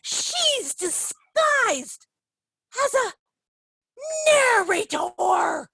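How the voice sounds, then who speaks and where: A woman performs a frantic, conspiracy-obsessed spy hunter who shouts accusations. The voice is extremely high and swoops wildly, bright and sharp, with slow, drawn-out delivery. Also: very animated, creaky, dry recording, studio quality, full character voice. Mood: paranoid. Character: paranoid frantic spy-hunting parent. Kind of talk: amateur theatre acting.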